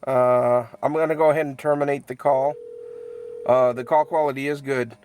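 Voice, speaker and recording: A man giving a casual, unscripted product review, halting as he thinks aloud and repeats words, in a matter-of-fact, mildly critical way. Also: medium pitch, fairly even intonation, darkish tone, slight background noise. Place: inside a truck cab.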